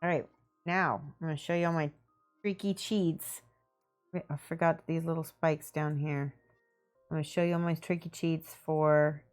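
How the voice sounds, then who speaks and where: A woman with a Midwestern accent, chatting casually on a live stream while she paints miniatures, talking her steps through aloud. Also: low voice. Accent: Midwestern American. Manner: relaxed, thinking aloud, greeting chat viewers.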